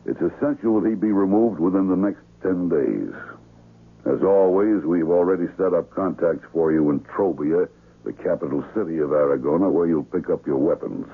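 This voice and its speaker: Low, husky spymaster. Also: male voice, normal speed. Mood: firm, instructing. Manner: clipped, formal, authoritative. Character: cool, businesslike male handler arranging contract killings. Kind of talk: 1970s radio drama acting. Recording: muffled, phone-like vintage radio.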